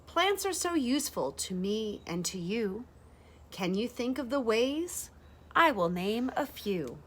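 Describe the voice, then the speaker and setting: A woman in her thirties reading a rhyming children's picture book aloud, with deliberate, expressive phrasing for young listeners. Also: on the high side. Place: bright sunroom with large windows, indoors.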